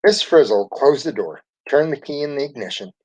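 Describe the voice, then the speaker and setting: A man reading a children's storybook aloud in an expressive way. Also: mid-range voice, normal speed. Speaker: storybook reader. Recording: dull lo-fi recording.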